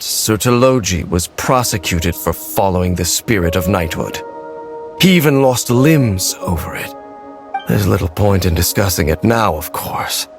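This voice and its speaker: An actor playing a stern, bitter immortal knight in a video game, speaking in a cold, measured, formal way. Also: male voice, fairly low pitch. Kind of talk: dramatic video game voice acting.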